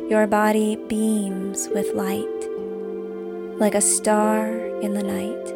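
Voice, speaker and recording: A woman guiding a meditation as scripted narration, calm and soothing, with deliberate phrasing. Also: medium pitch. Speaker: meditation guide and podcast host. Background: music behind the voice.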